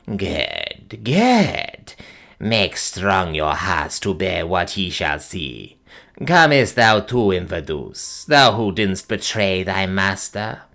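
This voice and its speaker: A man voicing an ancient, wicked witch who speaks slowly in a piping, gloating old-fashioned way, for an audiobook. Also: low-pitched, very animated, vocal fry, sharp tone, heavily acted. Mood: mocking. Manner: piping, gloating, slow archaic speech. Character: ancient wicked female witch, shrivelled and cackling. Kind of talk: audiobook character voice.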